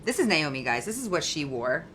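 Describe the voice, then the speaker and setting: A woman's voice: deep voice, very animated, a little fast, bright, forceful tone, a little hiss or hum. A young woman from Trinidad hosting a live fashion chat show, chatty and gushing. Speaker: host.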